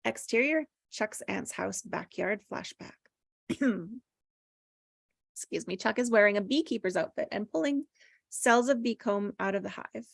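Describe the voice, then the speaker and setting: A woman's voice: mid-range voice, measured pace, vocal fry, no echo, studio quality. A woman reading screenplay stage directions as plain, descriptive narration at a table read.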